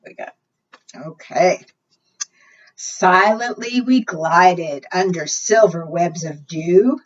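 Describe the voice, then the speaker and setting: Children's librarian, female, expressive read-aloud with questions to little listeners; unhurried, recorded at a distance with dull sound. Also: fairly low pitch. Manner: asks the young listeners questions.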